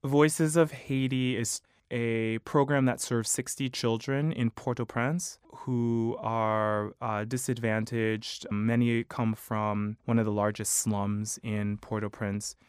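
A man in his thirties, a choral conductor with a high baritone voice, giving measured, thoughtful interview answers. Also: level tone, clear, resonant tone, dry recording, studio quality. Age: 30s. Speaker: choral conductor and music director.

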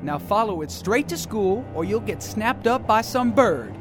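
An actor narrating a play excerpt and voicing a playful old granny, over music. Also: male voice, sits high, lively intonation, unhurried. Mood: storytelling. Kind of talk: recorded stage performance.

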